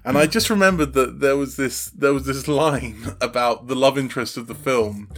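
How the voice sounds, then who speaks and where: A man chatting casually as a podcast guest, animated and halting, often repeating himself as he explains his writing. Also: medium pitch. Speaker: podcast guest, audio fiction writer. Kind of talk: casual interview chat.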